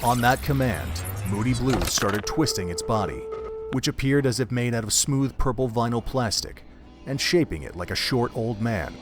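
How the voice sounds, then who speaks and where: A man narrating an audio drama in a measured, literary storytelling style. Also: mid-range voice, expressive. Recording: background music under the voice.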